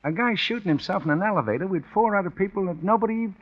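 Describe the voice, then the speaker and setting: An ordinary American working man in a vintage radio drama. His voice is high and lively, with folksy, slangy grammar, over a muffled recording with faint noise. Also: fast talker. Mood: puzzled. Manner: folksy, ungrammatical, slangy speech. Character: injured ordinary working man, plain-spoken and puzzled. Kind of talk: 1940s radio acting. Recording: muffled, noisy old radio broadcast.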